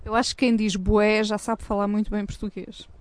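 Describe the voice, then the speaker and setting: A woman's voice: medium pitch, level tone, a little fast. A woman hosting a casual, playful chat outdoors by a windmill, teasing her guest as she asks about her life. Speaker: host.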